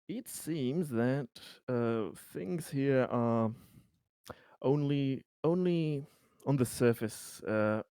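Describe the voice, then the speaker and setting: Male tabletop roleplayer, average pitch, unhurried and halting, improvising lines in a dry-sounding space. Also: dark tone, clear, resonant tone, dry recording. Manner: halting, hesitant, searching for words. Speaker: tabletop roleplaying player speaking in character.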